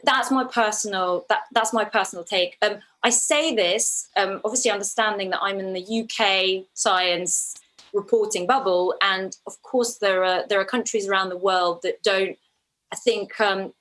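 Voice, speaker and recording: A woman's voice: medium pitch, fairly bright tone, poor audio. A woman from Ukraine speaking as an interview guest, a documentary filmmaker who answers conversationally and thoughtfully, often restarting her phrases.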